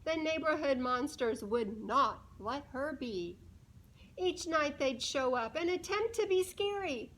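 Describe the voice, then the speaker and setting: A woman reading a storybook aloud to young children, animated and deliberate, pausing to put questions to her listeners. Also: high voice.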